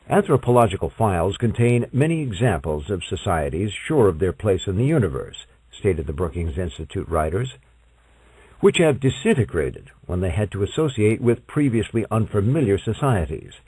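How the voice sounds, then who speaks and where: A man reading scripted non-fiction as an audiobook narrator, with measured, emphatic and dramatic phrasing. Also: low voice, darkish tone, husky, no echo, low-quality recording. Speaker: audiobook reader.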